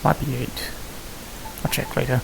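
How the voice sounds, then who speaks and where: A gamer giving casual gameplay commentary, muttering and thinking aloud to themselves in a gravelly voice. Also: medium pitch, flat delivery, moderate pace. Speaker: gamer hosting a gameplay series. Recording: hissy, noisy home recording.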